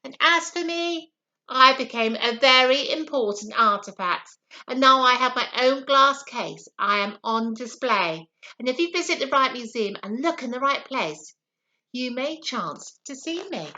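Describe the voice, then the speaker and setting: An eager little pea from a children's storybook , played by a woman reader, confiding and earnest. The voice is bright and fairly high, with animated swoops through each phrase. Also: a little slow, dull audio, heavily acted. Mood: proud. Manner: expressive, confiding storybook narration. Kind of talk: first-person children's story reading.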